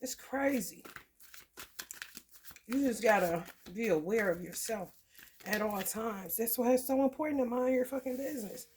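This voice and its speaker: A woman from the American Midwest giving a loose, profane tarot reading. Her throat is scratchy from allergies, and she keeps clearing it and laughing between thoughts. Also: on the high side, moderate pace, pauses often, a little mellow. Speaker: tarot reader. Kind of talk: casual spiritual reading to camera.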